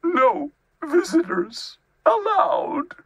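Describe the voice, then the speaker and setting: A storybook reader voicing a gruff, grumpy bear who is easily distraught, in a dramatic, blustering manner. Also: extremely high pitch, animated, very slow, fairly bright tone, dry recording, voice pushed up, exaggerated voice, poor audio. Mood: tearful, defeated.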